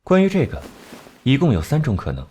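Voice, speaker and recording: An actor playing a cold, clever male investigator in an animated crime drama, reasoning in a measured, analytical way. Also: medium pitch, over music. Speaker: detective section chief.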